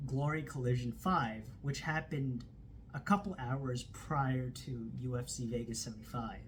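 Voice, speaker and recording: Slow-talking man recapping fight results. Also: mid-range voice, slight background noise. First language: not Turkish. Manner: casual recap with fillers and self-corrections. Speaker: podcast host. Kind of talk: solo combat sports commentary podcast. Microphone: phone camera.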